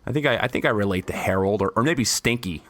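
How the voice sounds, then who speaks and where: A man's voice: lowish voice, fast talker, fairly bright tone, dry recording. A young man co-hosting a podcast, stuffy and losing his voice, rambling crudely and laughing a lot. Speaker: podcast co-host. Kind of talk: casual chat.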